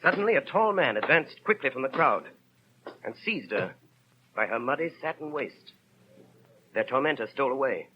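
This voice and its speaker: An actor narrating as a grave, literary storyteller in a measured, dramatic 1930s radio style. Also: male voice, medium pitch, very animated, close to an everyday voice. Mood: dramatic, intense. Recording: muffled, hissy 1930s radio broadcast.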